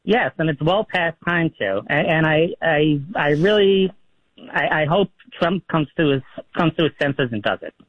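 A man's voice: fairly high pitch, lively intonation, normal speed, dry recording. A man from New York calling a radio show, hesitant and stammering. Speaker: caller. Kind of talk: phone-in call. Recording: muffled phone line.

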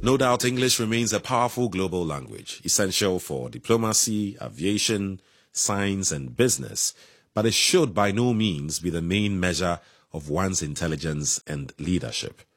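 A man from Ghana hosting a radio discussion, speaking slowly and deliberately. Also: low-pitched, a bit bright, dry recording, clean studio sound. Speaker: radio show host.

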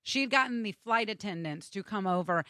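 Young american woman ranting funny about a trip, bright tense voice, very dry close mic. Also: mid-range voice, moderate pace, no echo. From United States. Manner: animated, exasperated comic storytelling. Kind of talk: casual storytelling on a radio show.

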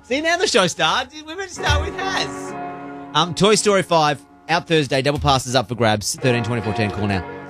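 Chatty radio host, man. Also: medium pitch. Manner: chatty, playful, teasing co-hosts. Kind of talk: evening radio show banter.

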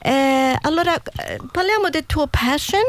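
A woman's voice: high-pitched, animated, moderate pace. A woman hosting an interview show, chatty and casual, often breaking off words midway. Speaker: radio host.

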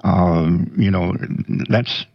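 A man hosting a radio show, speaking slowly in a meandering, folksy, joking way. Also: deep voice, steady tone, no echo. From South Dakota, United States. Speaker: radio host. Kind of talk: casual radio interview. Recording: dull lo-fi radio studio audio.

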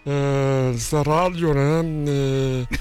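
A man's voice: mid-range voice, steady tone, darkish tone, soft background music, pitched above the performer's own voice. A man doing a comic impression of an Italian football coach stumbling through Portuguese on the radio. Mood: clumsy. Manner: halting, hunting for words, fake Italian accent.

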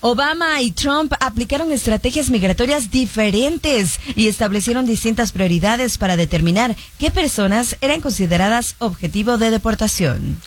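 A woman's voice: fairly high pitch, expressive, moderate pace, few pauses. A woman co-hosting a morning show, telling anecdotes in a conversational way and reading headlines in a broadcast studio. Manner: conversational, storytelling, indignant asides.

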